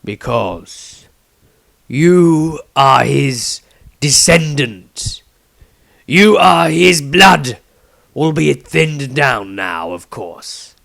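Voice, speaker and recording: A man voicing a grave old patriarch of a wizard order in a fantasy audiobook, solemn and deliberate, with dramatic pauses. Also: fairly high pitch, big pitch swings, creaky, fairly bright tone, dry recording, pitched above the performer's own voice, exaggerated voice. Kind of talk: fantasy audiobook character reading.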